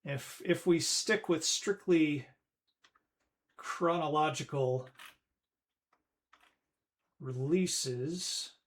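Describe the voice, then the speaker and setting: Man, forties, unhurried delivery, rambling casual stream chat. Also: a little high. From Northern Ireland. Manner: rambling, self-correcting, chatty. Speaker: streamer host.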